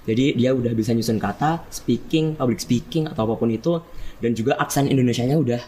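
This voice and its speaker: A teenage boy with a Javanese accent, a student guest on a school podcast, explaining things at length in a relaxed way. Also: mid-range voice, fairly quick, crowd noise. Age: teens. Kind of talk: casual podcast chat.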